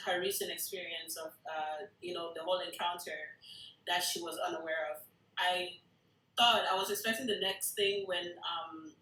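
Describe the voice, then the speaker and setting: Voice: medium pitch, pushed and bright. A participant giving feedback in a casual discussion, tentative and thinking aloud. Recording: roomy, echoing room sound.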